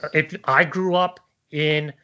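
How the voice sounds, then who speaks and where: A man's voice: fairly high pitch, fairly even intonation, unhurried, pushed and bright, smooth, highs cut off. A man in casual conversational debate, thinking aloud in a discursive, hesitant way and often checking for agreement. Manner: hesitant, discursive, seeks agreement with tag questions.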